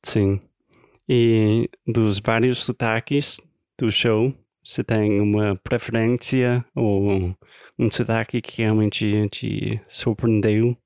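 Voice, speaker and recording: A man's voice: fairly low pitch, level tone, a little mellow, clear, resonant tone, no room sound, clean studio sound. A man co-hosting a casual language podcast, speaking careful, slightly hesitant Portuguese as a non-native speaker. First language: not Portuguese. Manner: slow, careful learner's Portuguese. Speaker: podcast co-host.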